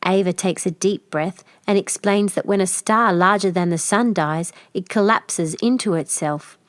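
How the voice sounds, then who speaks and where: A woman narrates a children's story from a script. Her pitch is in the middle range for a woman. The recording is dry, with no room around the voice. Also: moderate pace, dry recording. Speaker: storybook reader.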